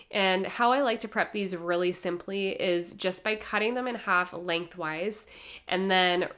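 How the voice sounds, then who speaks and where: A young woman giving a gentle, measured voice-over as she explains her home cooking step by step. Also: lowish voice, clear, resonant tone. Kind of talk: calm instructional voice-over.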